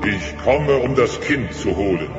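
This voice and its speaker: An actor playing a stern old dinosaur monk who proclaims solemnly and pompously in a children's audio play. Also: male voice, on the low side, animated, unhurried. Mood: ominous. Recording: muffled audio in a long echoey reverb, loud music.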